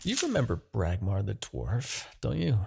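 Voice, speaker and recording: A man playing a smug, menacing demon king, obsessed with his rival, in a theatrical, deliberate fantasy roleplay monologue with a husky, gravelly voice. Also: lowish voice, wildly swooping pitch, very noisy, heavily acted. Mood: gloating, menacing. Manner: theatrical, gloating, deliberate, grandiose.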